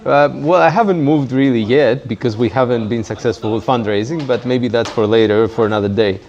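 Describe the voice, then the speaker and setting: A man's voice: medium pitch, a little fast, darkish tone. A man with a Greek accent and a mid-range voice chats as an interview guest. He is casual and wryly self-deprecating. The recording sounds dull, with some faint background noise. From Greece. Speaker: guest, startup founder. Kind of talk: casual fireside chat interview. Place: community tech workspace, live event. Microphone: handheld microphone.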